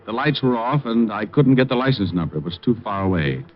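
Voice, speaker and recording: An actor playing a wisecracking private detective who narrates, dry and laconic, in a 1950s radio drama, on a muffled old recording with music. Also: male voice, lowish voice, big pitch swings, fairly quick, a little hiss or hum. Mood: narrating.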